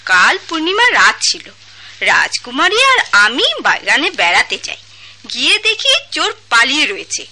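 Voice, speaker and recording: An excitable, gossiping maidservant in a radio play, Bangladeshi accent. Her voice is high and very bright, swinging up and down in a chatty sing-song. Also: normal speed, heavily acted, poor audio. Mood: excited, gossipy. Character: gossipy, excitable female palace maid. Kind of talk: radio drama acting.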